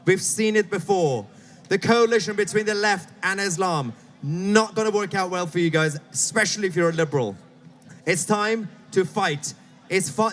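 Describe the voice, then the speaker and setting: A man giving an impassioned outdoor rally speech, shouting to a crowd. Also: sits high, slight background noise. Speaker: rally speaker.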